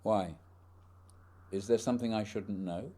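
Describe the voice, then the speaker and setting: A man playing an earnest, uneasy Edwardian gentleman explorer in a radio play, speaking formally and hesitantly in a husky voice. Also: on the low side, unhurried. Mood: earnest, probing.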